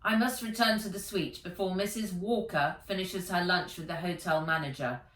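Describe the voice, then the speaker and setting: A woman's voice: fairly low pitch, moderate pace, fairly bright tone, close to an everyday voice. A woman voicing a smarmy, formal android horse butler in a children's storybook reading. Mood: stiffly formal. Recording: roomy, distant from the mic.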